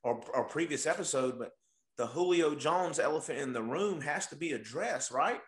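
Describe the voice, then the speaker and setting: A man's voice: on the high side, lively intonation, moderate pace. Echoey male sports show host. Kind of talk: casual sports talk. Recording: echoey, roomy sound.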